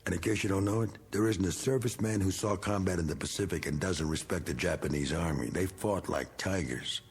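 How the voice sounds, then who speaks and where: An actor playing a needling, bitter middle-aged American war veteran with folksy, slangy talk in a vintage TV drama. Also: male voice, deep voice, brisk. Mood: wry, edgy. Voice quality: gravelly. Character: needling, bitter male war veteran, rough working man. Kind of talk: 1960s television drama acting.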